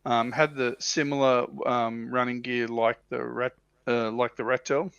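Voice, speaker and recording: A man with a bright, forceful voice of average pitch talks through his subject in a casual panel discussion. He clears his throat often. The audio is somewhat dull and lo-fi. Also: flat delivery, a little slow. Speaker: livestream panelist on tabletop wargaming. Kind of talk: casual discussion, explaining slides. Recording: dull lo-fi roomy livestream audio.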